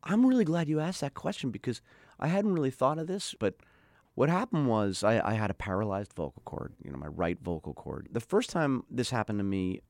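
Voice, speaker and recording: A man's voice: medium pitch, very animated, fairly quick, a little mellow, dry recording, studio quality. A middle-aged man telling a story in a casual interview, chatty and full of "like", acting out what people said. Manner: chatty, lots of "like", acts out quoted voices. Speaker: guest.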